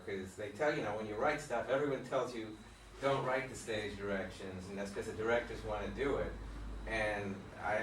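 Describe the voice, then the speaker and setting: A man's voice: on the low side, a bit bright, slight background noise. A man giving rambling, thoughtful feedback on a play in a casual group discussion, with many restarts. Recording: roomy and distant.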